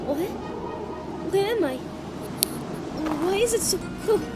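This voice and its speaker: A defiant young heroine played by a woman with an extremely high voice speaks in slow, halting, emotive phrases. It is sci-fi audio drama, with music underneath. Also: lively intonation, exaggerated voice. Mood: dazed confusion.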